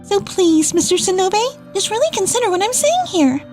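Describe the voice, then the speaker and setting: A woman's voice: very high voice, very animated, normal speed, darkish tone, soft background music. A woman playing an earnest, determined young businesswoman in a manga dub, speaking with insistent emphasis. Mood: pleading resolve. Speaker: dub voice actor.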